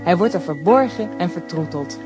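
A woman narrating a children's radio play, calm and measured. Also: lowish voice, music in the background. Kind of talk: scripted narration.

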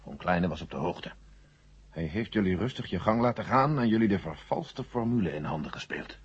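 An actor in a 1970 science-fiction radio drama playing a composed, authoritative general, calm and measured. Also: male voice, low voice, breathy edge, muffled recording, full character voice. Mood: calm, assured.

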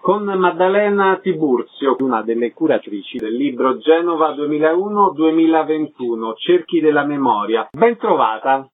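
The sound is thin and phone-like. A man with a slightly high voice speaks conversationally as a radio interviewer. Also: expressive, normal speed, few pauses.

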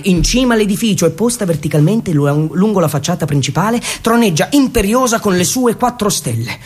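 A man performing a theatrical narrative monologue for radio, with a dramatic, irreverent delivery and emphatic pauses. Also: high voice. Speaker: stage storyteller and actor.

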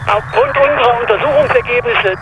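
A man's voice: very high voice, brisk, music in the background. A male actor playing a sober scientist reporting from a space station over a radio link in a radio drama. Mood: sober, matter-of-fact. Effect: radio transmission filter. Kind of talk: radio drama acting as live news link. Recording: dull band-limited radio link, loud noise.

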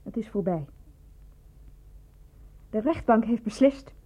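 An actress in a Dutch radio play, playing a bitter, defensive woman who is being questioned, with a husky, dramatic delivery. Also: on the high side, lively intonation, slow, dark tone, full character voice. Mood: guarded recounting. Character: bitter, evasive woman under questioning about a poisoning. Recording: dull, lo-fi radio play recording.

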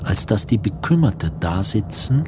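A man narrating a literary radio play as a grave storyteller, measured and expressive in his delivery. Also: fairly low pitch, noisy background. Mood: grave, dreamlike.

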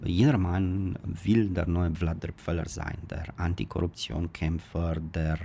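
A male academic with a Romanian accent gives spontaneous explanations in an interview. His voice is deep, with a lot of creak in it. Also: little pitch movement, a little slow, warm tone, slight background noise.

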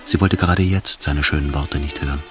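An actor narrating a German radio drama in a grave, measured, literary manner. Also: male voice, extremely low pitch, fairly even intonation, soft background music.

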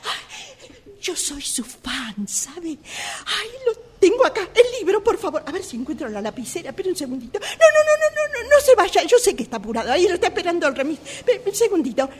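A woman's voice: extremely high pitch, very animated, brisk. A woman playing a gushing, flustered fan pleading for an autograph in a radio theatre exercise, repeating her words breathlessly. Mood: excited, pleading. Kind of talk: radio theatre workshop monologue.

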